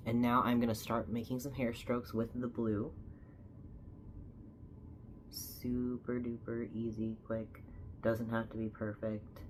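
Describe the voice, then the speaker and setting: A woman's voice: rumbling low, little pitch movement, slow pace, long pauses, a little hiss or hum. A young woman, slightly stuffy from a cold, chatting casually as she explains each step of a makeup tutorial.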